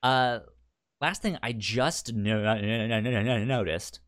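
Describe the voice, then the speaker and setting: A man's voice: medium pitch, unhurried, fairly bright tone. A man co-hosting a podcast in casual review chat, stuttering as he repeats sounds and words. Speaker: podcast co-host.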